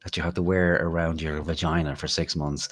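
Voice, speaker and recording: A man's voice: very deep voice, flat delivery, a little fast, fairly bright tone. An Irish man hosting a podcast in casual chat, crude and mocking, often breaking into laughter. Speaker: podcast host.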